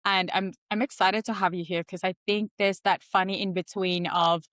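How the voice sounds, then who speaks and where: A woman's voice: mid-range voice, steady tone, normal speed, a bit bright, no echo, studio quality. A woman from the Philippines hosting a podcast, asking questions in a casual, affirming way with fillers. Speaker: podcast host. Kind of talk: casual interview chat.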